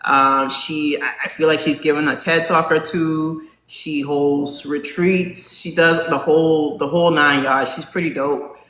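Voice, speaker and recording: Bright-voiced chatty host in their forties. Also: medium pitch, flat delivery, measured pace, few pauses. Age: 40s. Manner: chatty, many "um"s, asks the audience questions. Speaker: live talk show host. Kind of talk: casual live chat to an audience. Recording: roomy echo, faint background hum.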